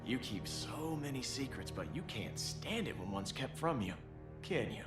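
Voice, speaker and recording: A cool, needling antiheroine from a video game. Her voice is extremely low and taunting. It echoes beneath strong music. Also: animated, moderate pace. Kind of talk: dramatic video game acting. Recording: echoey, under loud music.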